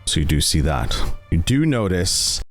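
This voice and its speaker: A man's voice: low voice, big pitch swings, a little slow, soft background music. A man acting as game master, improvising narration for a tabletop roleplaying game.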